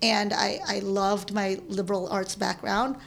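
A woman's voice: mid-range voice, level tone, a little slow, vocal fry, fairly bright tone. A Filipino-born woman in her thirties, a guest in an interview, talking casually with frequent laughs and restarts. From Philippines. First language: Tagalog. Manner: chatty, laughs often, many restarts.

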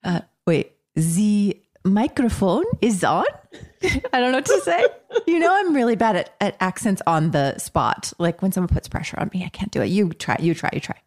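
A woman's voice: mid-range voice, sing-song, moderate pace. A woman co-hosting a casual chat show, playful and giggly as she talks. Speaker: podcast co-host.

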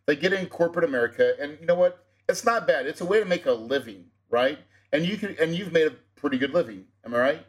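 A man with a fairly high voice hosts a casual podcast interview as a real estate coach. He talks energetically and persuasively, tossing quick confirmations at his guest. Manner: energetic and persuasive, tags with "right?".

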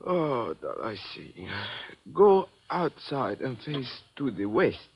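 A man's voice: medium pitch, sing-song, takes it slowly, vocal fry, slightly rough, full character voice. A man acting a sleepy, dreamy rooming-house keeper in a 1950s radio drama. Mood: languid. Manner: sleepy, languid. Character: drowsy, dreamy male rooming-house keeper, philosophical and sluggish. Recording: dull vintage radio recording.

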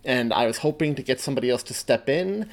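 A man's voice: mid-range voice, lively intonation, fast. A man hosting a podcast and reading his own story aloud, moving between casual talk and narration. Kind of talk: casual podcast intro and audiobook-style narration.

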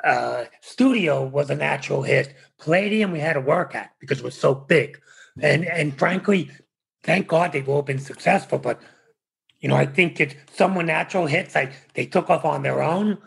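Gravelly husky man in an interview. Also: fairly high pitch. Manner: halting, restarts with fillers. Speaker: interview guest. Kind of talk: conversational interview.

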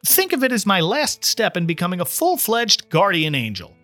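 A man's voice: sits high, huge pitch swings, moderate pace, bright, forceful tone, no echo, soft background music, pitched above the performer's own voice, heavily acted. A man voicing an earnest, impatient trainee guardian angel who explains things with mild condescension in a storybook reading. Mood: eager, explaining. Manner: explanatory, mildly condescending toward humans.

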